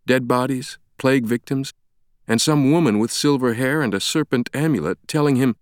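A man voicing a brisk, blunt woman doctor in an audiobook, direct, assertive and matter-of-fact. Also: mid-range voice, smooth, no echo, clean studio sound, pitched above the performer's own voice, natural voice. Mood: urgent. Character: brisk, blunt young woman doctor who takes charge.

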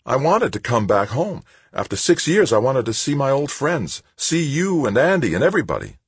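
A narrator doing a frustrated grown son, exasperated and pleading, in a raised voice that still keeps a husky grain. The pitch swings widely, and the reading sounds close and dry. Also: male voice, very animated, normal speed, no echo, full character voice. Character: frustrated grown son home from space work.

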